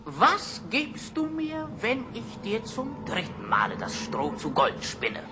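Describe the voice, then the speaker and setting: A man playing a sly, gleeful little dwarf with a squeaky, cackling, chanting delivery in an old fairy-tale radio play. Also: huge pitch swings, takes it slowly, heavily acted. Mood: sly, menacing. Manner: chanting, swooping, teasing. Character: sly, gleeful little male dwarf imp, wicked bargainer. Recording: noisy old record.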